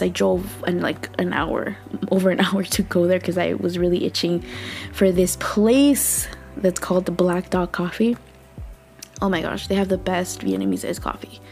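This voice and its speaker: Chatty young woman with a raspy morning voice. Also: fairly low pitch. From Southern California. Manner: chatty, bubbly, laughs often, quiet morning talk. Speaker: podcast host. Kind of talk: casual chat.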